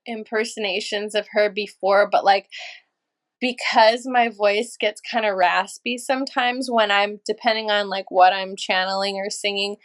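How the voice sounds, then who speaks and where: A woman from Los Angeles, a podcast guest, telling stories in a lively, casual way with easy swearing and laughter. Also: medium pitch, a little slow. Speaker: podcast guest, energy healer and channeler. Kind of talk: casual storytelling chat.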